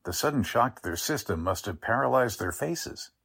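A man acting a mild, well-meaning, easily flustered doctor in a 1940s-style radio drama, husky and hesitant. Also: mid-range voice, expressive, normal speed, a bit bright, full character voice. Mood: sober, explaining.

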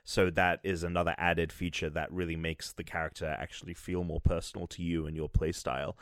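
A man's voice: deep voice, little pitch movement, brisk, fairly bright tone, clear, resonant tone, no echo, studio quality. A man co-hosting a casual gaming podcast, talking loosely with restarts and fillers. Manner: rambling, many restarts. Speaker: podcast co-host, game reviewer.

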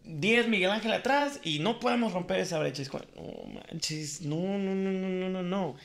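A young adult man, co-hosting a casual podcast chat, animated and quick to laugh. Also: high-pitched, creaky. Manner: animated, acts out quoted voices, laughs often. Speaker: podcast co-host.

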